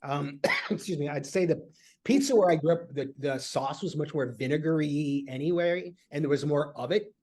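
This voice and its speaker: A man's voice: on the high side, a little mellow. A man from Michigan, a podcast guest, chatting casually and rambling with lots of fillers and restarts. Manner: rambling, halting with many fillers. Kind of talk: casual chat.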